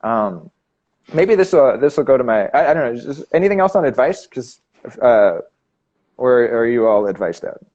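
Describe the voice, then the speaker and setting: Halting Canadian-raised man hosting a chat. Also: mid-range voice, sing-song, darkish tone, muffled recording. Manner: halting, many restarts and fillers. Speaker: host. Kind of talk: casual live chat.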